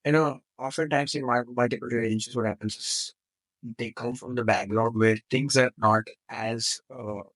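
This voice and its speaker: A man in his twenties hosting a podcast, talking loudly and haltingly with frequent restarts. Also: mid-range voice, steady tone, no room sound, low-quality recording. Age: 20s. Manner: halting, restarts often, loud speaker. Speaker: podcast host. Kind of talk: podcast interview chat.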